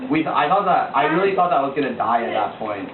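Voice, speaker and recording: A man's voice: lively intonation, fast. A teenage boy earnestly telling his faith testimony as a story, with lots of filler words and repetition. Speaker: youth sharing testimony. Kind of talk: casual spoken testimony to a group. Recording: echoey, distant, tinny low-fi recording with background noise.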